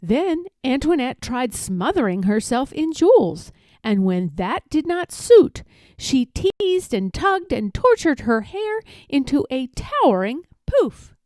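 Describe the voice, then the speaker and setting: A woman reading a children's storybook aloud with expressive narration. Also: high voice, slow, no room sound.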